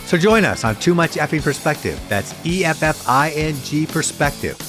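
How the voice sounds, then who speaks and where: A man reading a scripted podcast promo in a lively, playful way. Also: a little high, normal speed, faint music behind. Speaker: podcast co-host in an ad.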